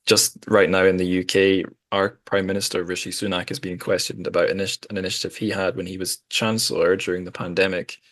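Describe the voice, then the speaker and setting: Male podcast host, deep register, wry and discursive, casual discussion with some hesitant phrasing. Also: level tone, brisk, few pauses, a bit bright, dry recording.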